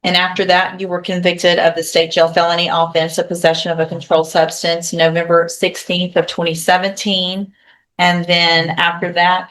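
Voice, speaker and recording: Low-pitched woman judge questioning methodically. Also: a bit bright, a little hiss or hum. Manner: procedural, methodical. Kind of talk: formal courtroom plea questioning.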